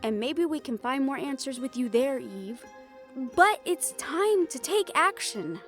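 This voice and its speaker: A woman's voice: high voice, animated, a little slow, fairly bright tone. An actress playing a determined, rule-bending woman hunter in a fantasy audio roleplay, speaking insistently and persuasively. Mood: urgent, resolute. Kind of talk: scripted audio roleplay drama. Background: background music.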